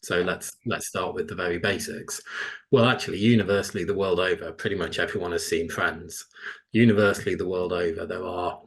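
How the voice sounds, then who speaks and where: A man's voice: deep voice, fairly even intonation, a little fast, a little hiss or hum. A man speaking as a webinar panellist. He argues persuasively and keeps drawing listeners in with tag questions, over a video call. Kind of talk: panel discussion.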